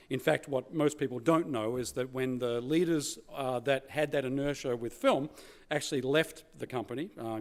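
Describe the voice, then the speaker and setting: A man with an Australian accent giving an informal keynote presentation, conversational and peppered with casual tags like "you know" and "right?". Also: medium pitch. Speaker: keynote speaker.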